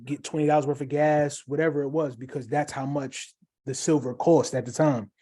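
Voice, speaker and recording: A man co-hosting a casual live chat, upbeat and encouraging. His voice is warm and mellow, and he talks through plenty of ums and uhs. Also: a little high, steady tone, fairly quick. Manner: upbeat, encouraging, many fillers. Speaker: co-host.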